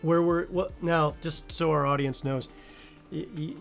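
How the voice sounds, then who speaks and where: A man's voice: a little high, fairly even intonation, a little slow, gravelly, soft background music. A man hosting a casual interview, loose and full of fillers as he asks questions. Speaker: show host. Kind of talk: casual chat.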